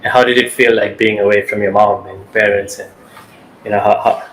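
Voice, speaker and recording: A man hosting a wellness podcast, asking questions in a halting way, repeating words and saying "you know". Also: fairly low pitch, steady tone, a bit bright, poor audio. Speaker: podcast host. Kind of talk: podcast interview.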